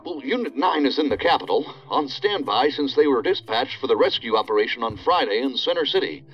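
Guarded federal field leader on a dull comms line, lively pitch swings, deferential, formal, audio drama. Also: male voice, a little fast, husky. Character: dutiful, guarded male federal task force leader. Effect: radio or phone line filter. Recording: dull band-limited call line, music underneath.

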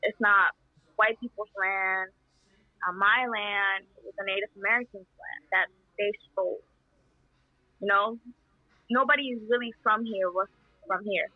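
A young woman from El Salvador, answering interview questions in an impassioned, halting way with many fillers. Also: mid-range voice, steady tone, no echo. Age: young adult. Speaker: interview guest. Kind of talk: earnest conversational answers. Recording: tinny phone-like line.

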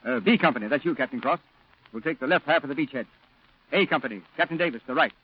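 Fast-talking, authoritative Marine colonel. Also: male voice, fairly high pitch. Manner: formal, decisive. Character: authoritative, sober Marine colonel briefing his officers. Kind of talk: 1940s radio acting. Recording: muffled old radio broadcast recording.

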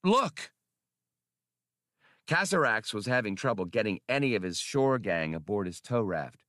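A man's voice: lowish voice, animated, a little fast, a bit bright, dry recording, clean studio sound. A man reading an adventure story as an audiobook narrator, in a scripted, dramatic style.

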